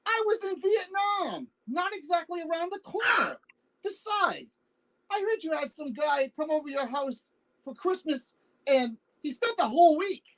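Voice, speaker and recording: Woman acting an animated, defensive older husband. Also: very high-pitched, heavily acted, low-quality recording. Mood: defensive, evasive. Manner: halting, defensive, repeats words. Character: older husband, Vietnam veteran, defensive, exasperated, evasive. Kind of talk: virtual staged play reading, naturalistic dialogue.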